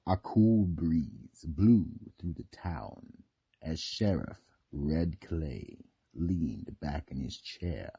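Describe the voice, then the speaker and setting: Man, extremely low voice, dark and mellow, theatrical radio drama narrator, deliberate. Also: vocal fry, breathy edge, no room sound. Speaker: narrator and host.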